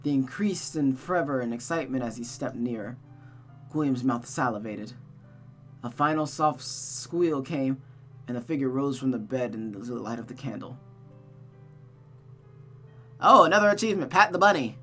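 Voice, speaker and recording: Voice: mid-range voice, moderate pace, a little mellow, faint music behind. A narrator reading a visual novel aloud in a dramatic storytelling manner, as a streamer does. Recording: noticeable background hum and noise.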